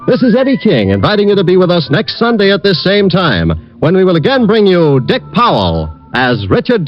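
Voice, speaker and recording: An American man announces a radio program in polished 1940s style. His fairly high voice moves through an animated range, on a muffled vintage broadcast recording. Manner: formal, polished delivery. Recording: dull, band-limited vintage radio broadcast.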